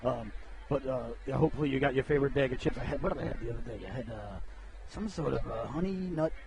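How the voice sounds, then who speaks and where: A man's voice: medium pitch, fast, creaky, crowd noise. A middle-aged man calling an amateur hockey broadcast in a chatty, rambling way, joking with viewers and a bit sniffly. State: sniffly from a cold. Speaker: play-by-play announcer. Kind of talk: casual hockey broadcast commentary.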